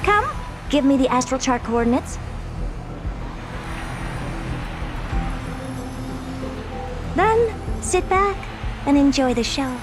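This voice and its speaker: Haughty young lady scientist, high voice, imperious, theatrical, video game dialogue, music behind. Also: very animated, moderate pace. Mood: proud, showy. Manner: imperious, theatrical, self-assured. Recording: background music under the voice.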